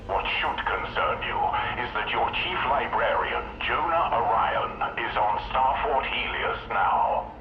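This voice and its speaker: An actor playing a stern, secretive Inquisitor in a video game, with a deliberate, authoritative delivery. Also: male voice, medium pitch, expressive, a little hiss or hum, natural voice. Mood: stern, guarded. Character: stern, secretive male human Inquisitor, imperious. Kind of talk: scripted video game voice acting. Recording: echoey, dull band-limited transmission, music behind.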